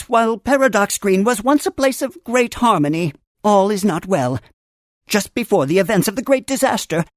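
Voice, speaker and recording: A woman playing an animated, high-voiced tree caretaker. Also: no room sound, studio quality, lightly acted. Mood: grave, earnest. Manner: theatrical, formal storybook phrasing, gently humorous. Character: female Funkey caretaker of a great tree, wise, theatrical, earnest. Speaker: guide character in a children's computer game. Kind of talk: scripted game character narration.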